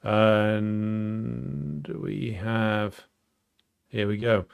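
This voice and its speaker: A man hosting a live stream, chatting casually and reading out viewer comments, halting with many ums and uhs. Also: on the low side, gravelly, smooth. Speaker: livestream host.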